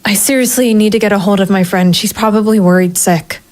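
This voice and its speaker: A woman's voice: mid-range voice, brisk, darkish tone, close to an everyday voice. A woman playing a hardboiled, sardonic private investigator in a noir audio drama, with a wry, self-mocking manner. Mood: exasperated. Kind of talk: first-person noir audio drama narration and dialogue.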